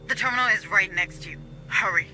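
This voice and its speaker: A woman's voice: medium pitch, fast talker, pushed and bright, rough recording. Female colony administrator for a sci-fi game: focused, businesslike, giving squad directions over music. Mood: focused, directive. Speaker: mission coordinator. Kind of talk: video game dramatic dialogue. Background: background music.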